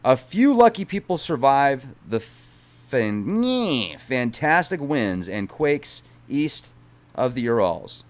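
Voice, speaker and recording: A man reads a book aloud on a stream, his pitch swinging widely as he leans into the drama of the text. His voice sits at an ordinary middle pitch. Every so often he breaks off into relaxed, chatty digressions to his viewers before going back to the page. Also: slow. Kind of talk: reading a book aloud with casual asides.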